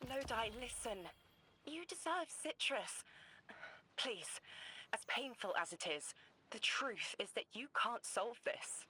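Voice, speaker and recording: A woman's voice: a little high, very animated, takes it slowly, bright, forceful tone, husky, full character voice. An actress playing a compassionate woman pleading with a friend to save himself. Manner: pleading, insistent. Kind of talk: video game voice acting.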